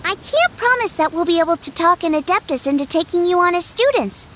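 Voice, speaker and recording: Childlike fairy sidekick, piercingly high, lively swoops, chirpy, bossy, video game cartoon style. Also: female voice, fairly quick, slight background noise. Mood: cautioning. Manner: squeaky, chirpy, scolding, speaks of self in third person. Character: tiny floating fairy companion, childlike, cheeky and bossy. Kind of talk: animated video game voice acting.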